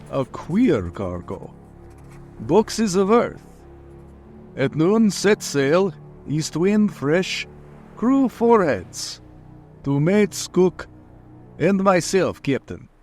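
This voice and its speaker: A man's voice: sits high, a little mellow, exaggerated voice. An actor playing a grave sea captain reading out his log in clipped phrases, in old-time radio style.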